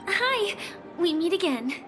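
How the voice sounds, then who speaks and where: An actress playing a gentle young woman on the run in a video game, earnest and guarded, with a soft, measured delivery. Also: very high voice, very animated, heavily acted. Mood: friendly, eager. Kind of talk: dramatic video game voice acting. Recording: clear music behind the voice.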